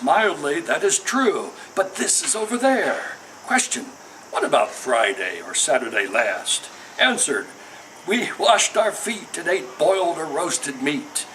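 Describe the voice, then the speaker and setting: High-pitched man reading poetry over background noise. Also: pushed and bright. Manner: measured, declamatory question-and-answer delivery. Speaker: poet reading own work. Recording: noisy ambience behind the voice.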